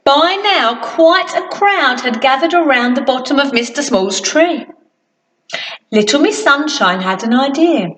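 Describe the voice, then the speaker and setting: A woman reading a children's storybook expressively, with theatrical emphasis and drawn-out sound words. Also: high voice, expressive, fairly bright tone, slight background noise. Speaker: storyteller at a school. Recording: echoey hall, far from mic.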